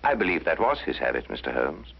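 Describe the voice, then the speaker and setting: Lord, exceptionally deep, gravelly, courteous, guarded, 1950s drama, dull old soundtrack. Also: male voice, sing-song, fast talker, heavily acted. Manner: stiffly courteous, clipped answers. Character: courteous, guarded English lord, Foreign Office gentleman. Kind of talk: 1950s television drama acting.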